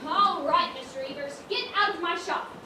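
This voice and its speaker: A woman's voice: very high-pitched, normal speed. A woman acting a flustered, bossy dress shop keeper in an amateur stage comedy. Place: school theatre stage, distant microphone. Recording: echoey stage from far off, noisy.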